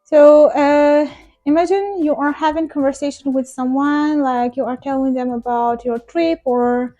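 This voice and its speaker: Young non-native woman ESL teacher, high but mellow voice, earnest and encouraging, soft music underneath. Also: fairly even intonation, measured pace, highs cut off. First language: not English. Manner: earnest, encouraging, direct address to viewers. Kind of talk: talking-head teaching vlog.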